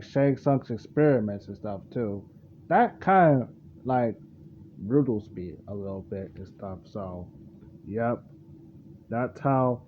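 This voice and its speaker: A man reacting casually off the cuff, rambling and halting as he circles back to explain himself. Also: medium pitch. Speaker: reaction video host. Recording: muffled lo-fi mic with clear background noise.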